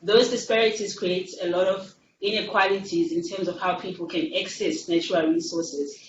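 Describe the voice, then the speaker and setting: A woman's voice: fairly low pitch, fairly even intonation, normal speed. Distant, echoey South African woman presenting. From Durban, South Africa. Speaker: university faculty member, researcher. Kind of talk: academic presentation in a webinar. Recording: roomy, distant, muffled, faint noise.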